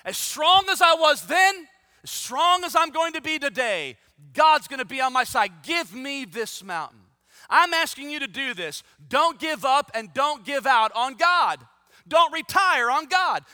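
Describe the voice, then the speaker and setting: A man's voice: extremely high pitch, pushed and bright, dry recording, clean studio sound, long reverb tail. A man in his fifties preaching a sermon, reading scripture aloud and then exhorting his listeners with rising, emphatic force. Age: 50s. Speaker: pastor.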